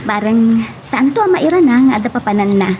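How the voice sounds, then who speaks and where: A woman's voice: a little high, lively intonation, fairly quick, darkish tone, slight background noise, lightly acted. A woman with an Ilocano Filipino accent playing a friendly, coy young office worker in a radio love drama. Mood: friendly, bashful.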